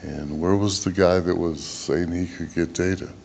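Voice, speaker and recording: A man with a very deep voice speaks as a researcher at a conference. His delivery is plain-spoken and halting as he explains technical work. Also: fairly even intonation, creaky, darkish tone, slight background noise. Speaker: research presenter. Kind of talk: conference presentation, then answering questions.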